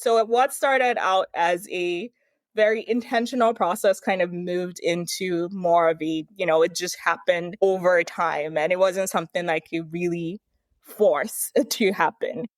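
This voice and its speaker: Woman guest from Nigeria, thoughtful and measured, close dry mic. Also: lowish voice, dry recording. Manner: reflective, measured explanations. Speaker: podcast guest, brand consultant. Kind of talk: casual interview conversation.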